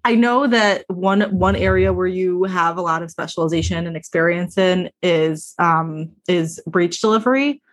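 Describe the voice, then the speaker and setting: Brief: woman podcast host, attentive interviewer, slightly low voice. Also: moderate pace, few pauses. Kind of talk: interviewing a guest.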